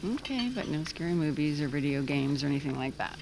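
A woman's voice: low-pitched, expressive, fast talker, vocal fry, noisy background. An actress playing a caring, watchful mother in naturalistic audio drama dialogue.

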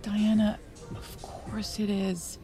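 A woman's voice: mid-range voice, little pitch movement, takes it slowly, mellow, faint music behind, close to an everyday voice. A woman playing a judgmental, bossy friend in an audio drama, with a scolding, insistent manner. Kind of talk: naturalistic audio drama acting.

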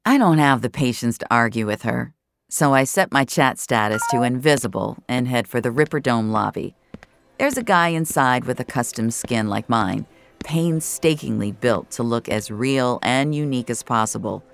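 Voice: mid-range voice, normal speed, gravelly, fairly bright tone. A narrator reading first-person audio fiction in a wry, colloquial way.

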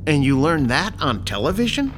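An actor playing a calm, controlling male crime boss in an audio horror drama, polite and precise with quiet menace. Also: a little high, a little hiss or hum. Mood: politely dry. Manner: polite, precise, coolly deliberate.

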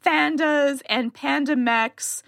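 A woman's voice: high-pitched, takes it slowly, gravelly, a bit bright, no echo, studio quality. A woman co-hosting a podcast, rambling and giggling through casual banter. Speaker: podcast co-host.

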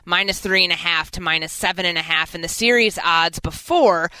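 A woman's voice: fairly low pitch, a little fast, sharp tone, smooth, no room sound. An American woman hosting a sports talk radio show, giving commentary.

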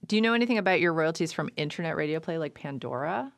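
Gravelly-voiced woman radio host. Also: low-pitched, animated, rapid-fire, no echo. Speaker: radio show host and record label owner. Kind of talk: scripted intro, then interview questions.

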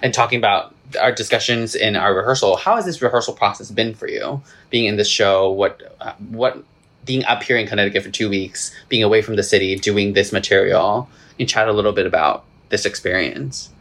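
A man's voice: lowish voice, a little fast, fairly bright tone. A man from Singapore, a composer, asking questions and giving short encouraging replies in a casual interview. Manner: supportive, lots of short agreeing replies. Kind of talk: conversational interview.

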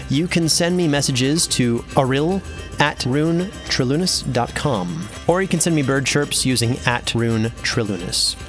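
A man's voice: medium pitch, natural voice. A man playing an earnest, slightly awkward inventor in an audio drama, chatty and hesitant in a naturalistic way. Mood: focused, self-noting. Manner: hesitant, rambling, friendly.

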